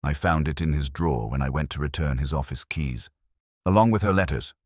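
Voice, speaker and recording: A man's voice: extremely low pitch, fairly quick, a little mellow, dry recording, clean studio sound. A man voicing a thin, resigned, secretive man in his forties who speaks in a tight, guarded way, in an audio mystery. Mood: bitter, guarded.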